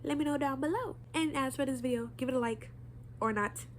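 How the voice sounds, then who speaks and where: A woman's voice: high-pitched, brisk, a little hiss or hum. A young woman with a stuffy nose giving an earnest, preachy motivational talk straight to her viewers. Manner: earnest, preachy, direct address. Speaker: vlogger.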